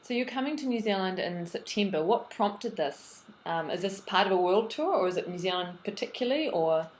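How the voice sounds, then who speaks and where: Soft-spoken woman interviewer, echoey. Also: mid-range voice, expressive, a little hiss or hum. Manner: gentle, halting questions with soft backchannels. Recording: roomy echo with faint ambience.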